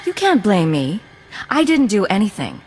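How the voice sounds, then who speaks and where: An actress playing a composed imperial spy with a dry, level delivery. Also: female voice, medium pitch, huge pitch swings, normal speed, a little mellow, faint music behind. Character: cool female imperial spy.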